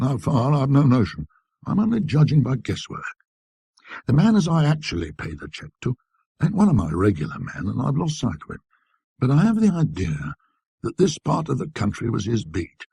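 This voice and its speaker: Man playing a husky, blustering antique dealer. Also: medium pitch, very animated, fairly quick, dark tone, no echo, exaggerated voice. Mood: confiding, earnest. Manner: colloquial, self-justifying, rambling. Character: rattled, talkative, blustering male antique dealer.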